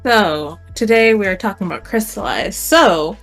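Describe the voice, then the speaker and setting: A woman hosting an informal group chat podcast, reacting eagerly to the others. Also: mid-range voice. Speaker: podcast host.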